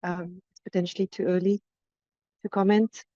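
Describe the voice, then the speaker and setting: Flat-voiced woman calling from an airport. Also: lowish voice, normal speed, no echo, lo-fi sound. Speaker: industry association representative.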